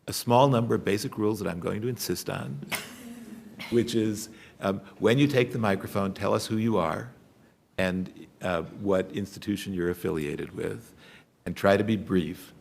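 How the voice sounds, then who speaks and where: A man moderating a conference panel, opening the floor to audience questions in a relaxed, lightly humorous way. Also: sits low, vocal fry, slight background noise. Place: conference room.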